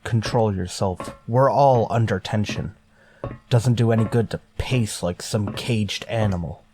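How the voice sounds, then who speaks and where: An actor playing a stern, resolute starship captain in a sci-fi radio drama, speaking with clipped authority. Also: male voice, fairly low pitch, unhurried, a little mellow, soft background music, close to an everyday voice. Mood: stern, grave. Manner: authoritative, clipped, reasoning aloud. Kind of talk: golden age sci-fi radio drama acting.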